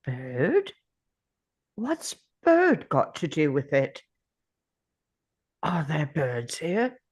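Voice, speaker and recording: Guy acting a weary grieving time traveller in a drama read, low slow musing voice, lots of swoops. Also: wildly swooping pitch, breathy edge, exaggerated voice. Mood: puzzled. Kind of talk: script table read, introspective monologue.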